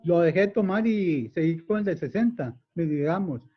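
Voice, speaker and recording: A man's voice: on the high side, lively intonation, normal speed, breathy edge. A man speaking frankly and casually in a group video call. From Mexico. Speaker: support group participant. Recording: dull lo-fi video call audio.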